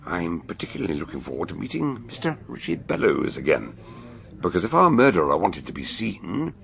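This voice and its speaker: An actor playing a shrewd, hearty older British detective in a radio drama, speaking in a ponderous, emphatic, theatrical way. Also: male voice, low voice, huge pitch swings, fairly quick, creaky, fairly bright tone, full character voice. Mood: shrewd, probing. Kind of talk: radio drama acting and narration.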